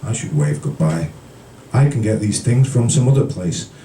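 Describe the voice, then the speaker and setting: A man from the British Isles reading poetry live at a café event in a measured storytelling manner, with brief casual asides. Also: medium pitch, animated, warm tone. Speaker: featured poet. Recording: roomy, distant, noisy live recording.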